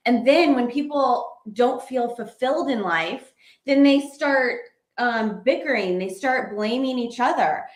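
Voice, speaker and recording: A woman's voice: a little high, unhurried. Woman co-host explaining, roomy echo. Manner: steady, explanatory, lists questions, light laughs. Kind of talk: casual conversational advice.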